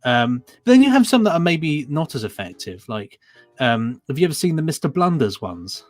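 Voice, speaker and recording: A man's voice: fairly high pitch, very animated, normal speed, a little mellow, soft background music. A British man co-hosting a podcast in casual, conversational chat. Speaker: podcast co-host.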